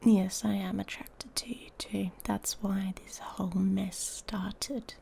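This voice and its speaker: A woman playing an irritated, haughty female werewolf in an intimate ASMR roleplay, breathy and often whispering, taunting and seductive. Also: medium pitch, flat delivery, mellow, a little hiss or hum. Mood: taunting, grudging desire.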